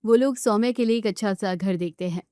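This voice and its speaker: A woman's voice: mid-range voice, a little fast, dark tone, clean tone, no echo, studio quality. A woman storyteller reading scripted narration of a family tale.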